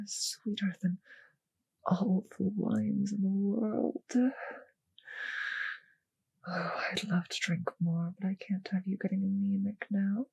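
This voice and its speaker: A woman voicing a seductive, possessive and doting vampire noblewoman in an intimate ASMR roleplay, speaking in tender, teasing, breathy whispers. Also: mid-range voice, darkish tone, no echo, exaggerated voice. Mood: sated, teasing.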